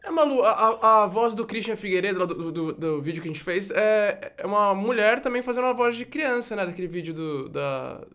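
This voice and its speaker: A Brazilian man speaks in a distinctly high voice for a male speaker. His delivery is casual and conversational. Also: lively intonation, brisk, hardly any pauses, sharp tone. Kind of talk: casual chat.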